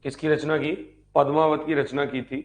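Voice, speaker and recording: A man's voice: a little high, little pitch movement, moderate pace. A man teaching history in a live exam-prep lecture, repeating phrases for note-taking and answering his own questions.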